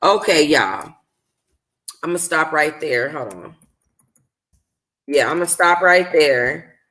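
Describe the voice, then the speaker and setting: Bright-voiced, tired woman reading aloud. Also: sits low, animated, slow pace. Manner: informal, conversational. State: tired, slightly hoarse. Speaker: book club host reading a novel aloud. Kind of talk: expressive audiobook-style reading and casual livestream chat.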